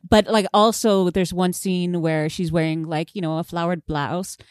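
Animated low-voiced woman chatting. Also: normal speed, smooth, no room sound, clean studio sound. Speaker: podcast co-host. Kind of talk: casual film discussion.

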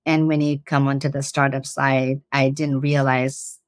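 A woman's voice: extremely low pitch, flat delivery, unhurried, no echo, studio quality. A woman in her forties from Iran with a slight Iranian accent, answering interview questions earnestly and laughing easily. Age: 40s. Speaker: guest, startup CEO.

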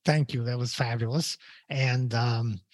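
An older man, a professor hosting a podcast, introducing and questioning a guest in a deliberate, emphatic way. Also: medium pitch, steady tone, dry recording, clean studio sound. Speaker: podcast host.

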